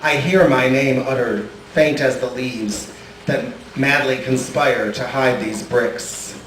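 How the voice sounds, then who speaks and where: A man performing as the featured poet at an open mic, singing a chant and then reading a poem slowly. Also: medium pitch, steady tone, fairly bright tone. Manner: slow, measured reading. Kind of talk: sung chant and poetry reading. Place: cafe performance room. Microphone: distant room mic. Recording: echoey room, far from mic, noisy.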